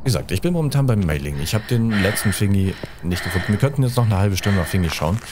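A man in his thirties with a low-pitched voice talks through a game in casual streamer fashion. He drops in English gamer slang as he goes. He sits close to a desk microphone, with noticeable game ambience behind him. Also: lively intonation, fast, noisy background. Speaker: let's play streamer. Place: indoors, seated close at a desk. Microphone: desk microphone at close range.

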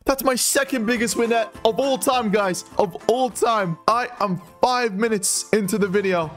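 A hoarse man commentating his online gambling in a casual, excitable way, calling his viewers guys and bro. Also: high-pitched. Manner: excitable, shouts and laughs at big wins. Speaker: gambling streamer.

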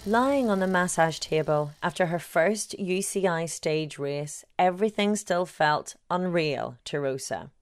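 Woman narrator with a low voice, sober and measured: a journalist reading her long-form feature. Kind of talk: scripted narration.

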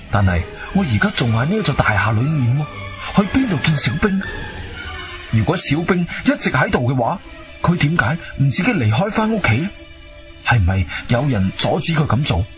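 A man acting as an intrepid adventurer, telling his story in expressive, suspenseful Cantonese radio-drama style. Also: on the high side. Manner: expressive, suspenseful, colloquial delivery. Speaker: narrator and lead actor. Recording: background music under the voice.

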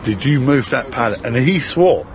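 A man's voice: mid-range voice, lively intonation, moderate pace, background noise, lightly acted. A man playing a chatty warehouse supervisor with an English accent, telling a story in an insistent, colloquial way. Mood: earnest.

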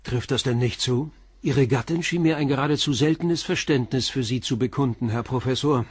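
An actor playing a calm police inspector who questions a suspect with short, probing questions in a radio play. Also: male voice, mid-range voice, fairly quick, slightly rough, dry recording, clean studio sound, natural voice.

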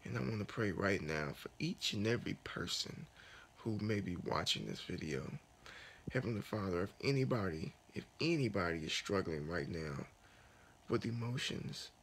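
A man in his thirties lying in bed in a dark bedroom, talking softly and earnestly into a phone held close in a raspy morning voice, then praying aloud. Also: deep voice, a little hiss or hum. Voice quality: gravelly. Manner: soft, unhurried, earnest with many restarts. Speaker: fitness vlogger. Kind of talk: casual morning talk and spoken prayer. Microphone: phone camera held close.

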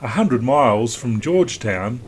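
Calm man narrating a sailing voice-over. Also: medium pitch, a little slow. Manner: calm, instructive. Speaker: sailing vlog host. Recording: wind noise on deck.

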